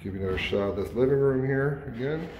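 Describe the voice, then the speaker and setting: A man, a real estate agent, walking through and narrating a house tour in a relaxed, informal way. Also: medium pitch, fast, dull audio. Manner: relaxed, informal, with small self-corrections. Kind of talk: casual walkthrough narration. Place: empty house, bare rooms. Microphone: handheld phone camera. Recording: echoey empty rooms, faint background noise.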